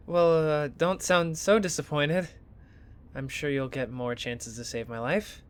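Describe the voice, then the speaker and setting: A man playing a pushy, teasing college student in an audio drama, with an expressive, bantering delivery. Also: fairly high pitch, slow pace, smooth. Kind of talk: naturalistic audio drama acting.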